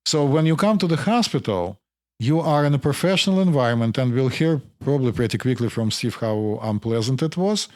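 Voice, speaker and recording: A man from Russia with a Russian accent, hosting as a physician and explaining things in a measured way. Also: mid-range voice, expressive, no room sound, clean studio sound. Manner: explanatory, measured, interjects often. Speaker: physician host. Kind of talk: conversational podcast discussion.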